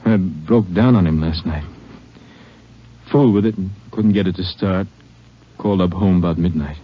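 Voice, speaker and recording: Husky, halting wounded country deputy. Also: male voice, low-pitched. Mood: dazed, halting. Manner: halting, folksy. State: injured, dazed. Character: wounded, grieving middle-aged country deputy, dazed father. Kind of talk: 1950s radio drama acting. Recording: dull, muffled old radio recording.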